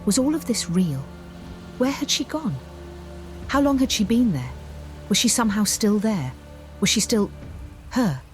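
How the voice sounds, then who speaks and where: A woman storyteller reading scripted fantasy narration in a measured, theatrical way. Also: mid-range voice, big pitch swings. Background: music bed.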